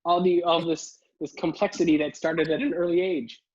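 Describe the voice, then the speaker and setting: A man's voice: fairly high pitch, little pitch movement, normal speed, a little mellow, highs cut off. A man hosting an interview show, asking questions and reacting with enthusiasm in casual chat. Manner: enthusiastic, affirming. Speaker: podcast host.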